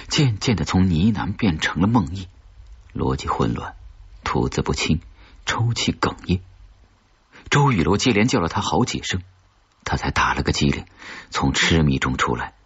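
A man narrates a suspense audiobook in a low, very husky voice. The story is scripted and read out as narration. The recording is a little dull and muffled. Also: animated, normal speed, pauses often.

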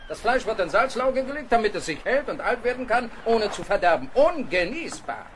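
An actor playing a stern, fault-finding naval captain in a radio play, clipped, commanding and condescending. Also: male voice, sits high, very animated, moderate pace, a little hiss or hum. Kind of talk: German radio play acting.

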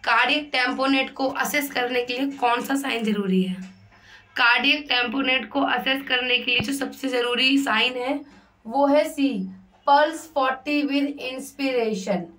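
High-pitched woman slowly reading quiz questions. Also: fairly even intonation, fairly bright tone, poor audio. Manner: mixes Hindi and English, repeats "ठीक है"; reads questions slowly, explains quickly. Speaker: nursing exam tutor. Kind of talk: explaining exam answers. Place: voice-over on screen recording.

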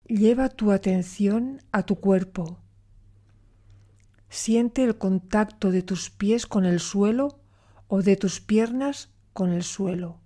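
Female meditation guide: mellow, relaxed tone, slow delivery, soothing, with long pauses. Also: mid-range voice.

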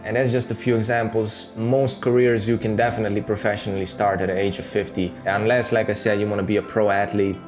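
A man's voice: lowish voice, level tone, fast talker, few pauses, over music. A man in his thirties with a Serbian accent, talking candidly to camera in a casual home video, close to a studio mic. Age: 30s. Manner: candid and conversational, with many fillers. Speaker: YouTuber. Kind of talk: casual talking-head monologue. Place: small room at home, indoors. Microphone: studio mic on boom arm, close.

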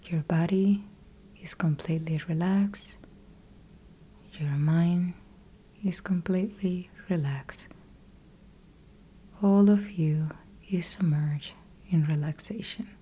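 A woman guiding a yoga nidra meditation in a soft, breathy, husky voice, calm and gentle, leaving long pauses between short instructions. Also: lowish voice. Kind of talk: guided meditation, slow instructional.

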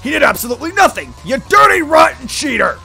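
A man voicing a furious, roaring giant male reptile king, shouting high and loud over faint music. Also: very animated, fast talker, vocal fry, exaggerated voice. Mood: raging. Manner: shouting, bellowing rage.

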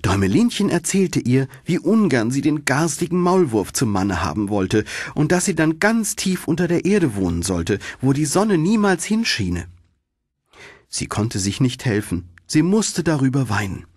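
A man narrating a fairy tale as an audiobook, in an expressive storytelling manner with a husky voice. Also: mid-range voice, normal speed, dry recording, studio quality.

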